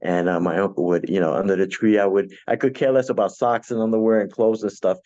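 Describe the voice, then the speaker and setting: A man's voice: on the low side, steady tone, quick pace, mellow, highs cut off. A man in his sixties, born in Puerto Rico and raised in Brooklyn, talking casually in an interview with many fillers and restarts. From born in Puerto Rico, raised in Brooklyn, New York. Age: 60s. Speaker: guest DJ.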